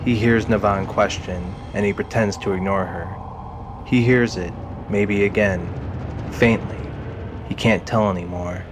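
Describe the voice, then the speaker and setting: A man's voice: lowish voice, steady tone, measured pace, noisy background. A man reading scripted dramatic narration as the narrator of an audio drama. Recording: music behind the voice.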